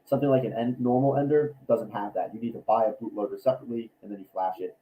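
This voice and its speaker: A man with a raspy voice from allergies, chatting casually on a livestream about his builds, rambling with many ums and restarts. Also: fairly low pitch, fairly even intonation, fast, phone-quality sound, slight background noise. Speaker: cosplay maker and YouTuber.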